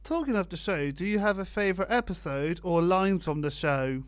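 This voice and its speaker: A man's voice: sits high, animated, moderate pace, smooth, dry recording. A man, a polite and earnest radio interviewer asking prepared questions.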